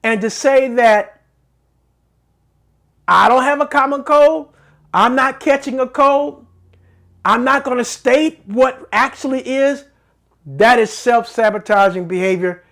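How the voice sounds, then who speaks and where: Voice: mid-range voice, takes it slowly, long pauses, a bit bright. An earnest, middle-aged host of a faith-based talk show delivers a teaching monologue. The delivery is preacherly, and key phrases are repeated for emphasis.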